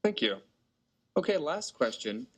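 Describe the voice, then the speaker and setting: Polite man asking lively interview questions. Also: on the high side, measured pace, breathy edge. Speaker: interviewer, course instructor.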